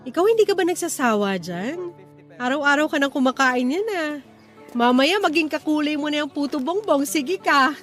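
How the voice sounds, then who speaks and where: A woman's voice: high-pitched, animated, normal speed, a bit bright. A woman acting a loving Filipino wife and mother in a radio drama. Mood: teasing, fond. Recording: music bed under the voice.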